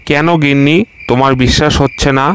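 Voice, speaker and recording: A man voicing a lazy, soft-hearted crocodile. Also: medium pitch, little pitch movement, a little fast, slight background noise, close to an everyday voice. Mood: easygoing. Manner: easygoing and meek. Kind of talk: cartoon voice acting.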